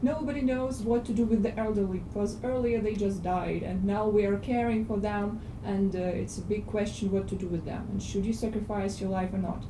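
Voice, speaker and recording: A woman's voice: mid-range voice, steady tone, a little fast, few pauses. Blunt Russian-accented woman in an echoey hall. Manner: frank, blunt, hesitant fillers. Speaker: discussion club participant. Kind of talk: spoken opinion in a film discussion. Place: cinema auditorium. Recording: echoey hall, distant, noisy.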